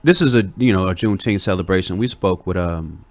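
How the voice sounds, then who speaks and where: An easygoing host speaks in a somewhat low voice. The sound is dry and close. He jokes around and draws his guests out with open questions. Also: a little fast, dry recording. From Chicago, US. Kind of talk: casual chat, asking guests questions.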